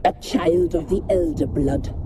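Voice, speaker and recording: An ancient witch of a dark fantasy game, sly and hungry. She savours each archaic phrase slowly, in a slightly low voice, with noisy ambience behind her. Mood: ominous. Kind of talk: video game dramatic voice acting.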